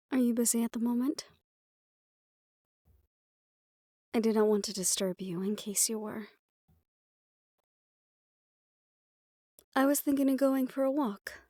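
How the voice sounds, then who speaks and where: A woman playing a gentle, well-bred young noblewoman in a fantasy audio roleplay, speaking in polite, measured phrases directly to the listener. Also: medium pitch, no echo, clean studio sound, close to an everyday voice. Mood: polite, hopeful.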